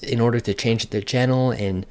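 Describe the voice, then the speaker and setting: A man's voice: medium pitch, fairly even intonation, normal speed, dark tone, clean tone. A man giving a casual, unscripted product demonstration, thinking aloud in a matter-of-fact way as a reviewer.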